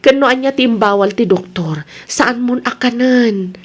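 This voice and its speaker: A woman's voice: a little high, normal speed, close to an everyday voice. A woman with an Ilocano accent acting a concerned, scolding younger relative in a radio drama, nagging and admonishing. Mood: worried, scolding.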